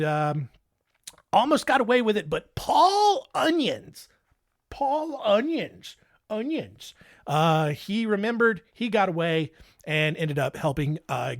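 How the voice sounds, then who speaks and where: A man's voice: high-pitched, no echo, studio quality. A young man hosting a casual solo podcast, making joking, playful asides. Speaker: podcast host.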